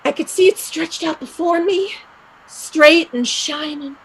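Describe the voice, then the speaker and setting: A woman's voice: high-pitched, unhurried, low-quality recording. A woman acting a restless, dreamy and bitter young Irish emigrant in a play reading, with an emphatic, lyrical delivery. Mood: rapt, dreamy.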